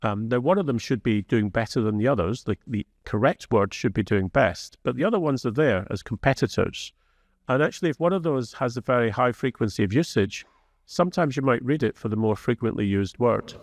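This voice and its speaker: A man's voice: mid-range voice, expressive, fairly quick, no room sound, studio quality. A man on a conference panel explaining reading research, stumbling over restarts before settling into a fluent explanation. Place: conference venue.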